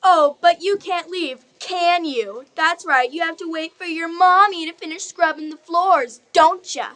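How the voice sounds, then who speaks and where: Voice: very high-pitched, a little slow, bright, forceful tone, heavily acted. A performer playing a mocking schoolchild bully in a radio play, sneering and taunting. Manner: sneering, sing-song taunting. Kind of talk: stage radio play acting.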